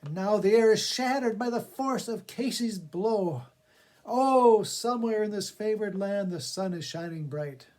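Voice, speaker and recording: A man with a slightly high voice reads for a library audience. He speaks as a theatrical storyteller, giving verse and introductions an expressive, spoken-word feel. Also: unhurried. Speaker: poetry reader for a public library reading project. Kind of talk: dramatic poetry recitation with casual spoken introduction.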